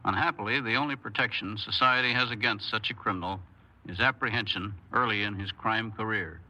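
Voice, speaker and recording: Forceful, solemn male official lecturing. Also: on the low side, brisk, poor audio. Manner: formal, solemn, didactic. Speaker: state corrections official as guest commentator. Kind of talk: formal scripted radio commentary.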